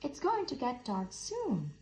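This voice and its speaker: A woman voicing a small, anxious male mouse in a storybook, fretful and squeaky. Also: wildly swooping pitch, takes it slowly, a little mellow, heavily acted. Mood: worried, anxious.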